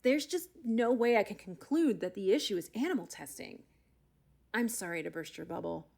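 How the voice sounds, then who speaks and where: An actress playing a brisk, skeptical but helpful animal expert, speaking matter-of-factly in an audio drama. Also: female voice, mid-range voice, animated, creaky, a little mellow. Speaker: outside expert being interviewed.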